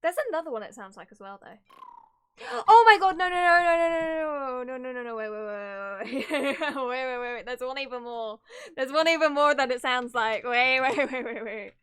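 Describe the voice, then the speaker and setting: High-pitched, giggly, excitable woman streamer. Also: normal speed, clear, resonant tone. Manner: giggly, excitable, easily sidetracked. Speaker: livestreamer reacting to a video game. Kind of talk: casual excited chat while gaming.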